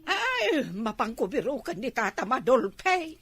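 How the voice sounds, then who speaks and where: A woman with an Ilocano accent playing a jealous, scolding older village wife in an amateur comedy drama, with an exasperated, drawn-out delivery. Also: high-pitched, big pitch swings, a bit bright, breathy edge, dry recording, exaggerated voice. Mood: worried, indignant. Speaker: actor in comedy skit.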